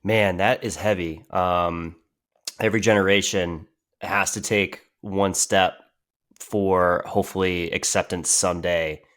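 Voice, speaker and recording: A man's voice: deep voice, steady tone, unhurried, fairly bright tone. A man hosting a podcast, responding to his guest in a reflective, thoughtful way. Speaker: podcast host. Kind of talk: casual podcast chat.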